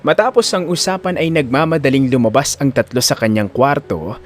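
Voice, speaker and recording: A man's voice: medium pitch, a little fast, faint music behind. A man narrating a scripted audio drama in a dramatic storytelling style.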